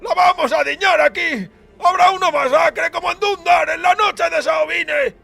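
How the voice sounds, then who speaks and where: A man playing a panicked swordsman in a fantasy audiobook, shouting frantically in dread. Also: very high voice, moderate pace, creaky, soft background music, voice pushed up, exaggerated voice. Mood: terrified.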